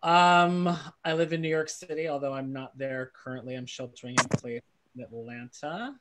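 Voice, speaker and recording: A man from Massachusetts talks on a video call in a slow, deliberate way, laughing easily. His pitch sits in the middle range. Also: fairly even intonation, heavy background noise. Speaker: guest dancer and meditation teacher. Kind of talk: casual chat.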